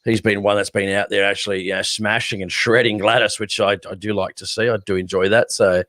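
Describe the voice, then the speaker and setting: An Australian man with a fairly low voice co-hosts a casual live stream. He is blunt and sarcastic and doesn't hold back. The recording is dry and close to the mic. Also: level tone, fast, a bit bright, dry recording. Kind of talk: casual opinionated chat.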